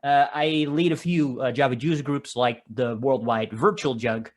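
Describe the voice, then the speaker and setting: A man's voice: mid-range voice, steady tone, normal speed. A man from the Netherlands with a Dutch accent, giving a conversational technical conference talk and often restarting his phrases. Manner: conversational, with frequent restarts and filler "uh". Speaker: conference speaker. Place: home office, construction noise outside.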